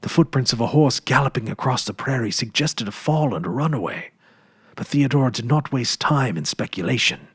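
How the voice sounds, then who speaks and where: Guy reading an old story out loud, husky low voice, dry close sound. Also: expressive, no room sound. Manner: measured, dramatic reading. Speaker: narrator.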